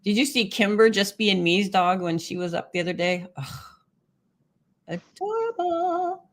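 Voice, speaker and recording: A woman's voice: medium pitch, very animated, unhurried. A woman hosting a live stream, chatting casually, laughing often and reading viewer comments aloud. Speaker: livestream host.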